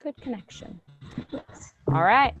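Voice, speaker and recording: A woman's voice: on the high side, lively intonation, slow, fairly bright tone, dull audio. A woman teaching an online math class, counting through problems step by step. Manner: patient, step-by-step counting aloud. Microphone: video call.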